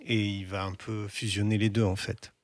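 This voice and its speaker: A man hosting a music radio show in French, chatting casually with a co-host and pausing often with fillers. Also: fairly low pitch, no echo, studio quality. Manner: relaxed, hesitant, many pauses and fillers.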